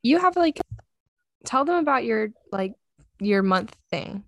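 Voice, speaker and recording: A woman's voice: fairly high pitch, lively intonation, a little slow, creaky, no room sound. Young female co-host with a Southern drawl, dry and teasing, recorded close and dead. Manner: wry, teasing, offhand. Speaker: podcast co-host. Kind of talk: casual chat.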